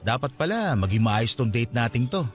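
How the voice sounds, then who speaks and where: An actor playing an earnest, eager suitor on a date in a radio drama, speaking conversationally with a Filipino accent. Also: male voice, medium pitch, sing-song, normal speed, faint music behind, natural voice. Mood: attentive. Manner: courting, conversational.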